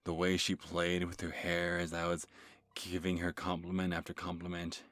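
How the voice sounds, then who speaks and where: Man acting a deep-voiced obsessive stalker, halting. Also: completely flat delivery, a bit bright, no room sound, studio quality. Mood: nervous, obsessive. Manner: halting, stammering, breathy sighs and self-corrections. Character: obsessive lovestruck male stalker, nervous and creepy. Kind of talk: first-person horror story in ASMR manner. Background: music.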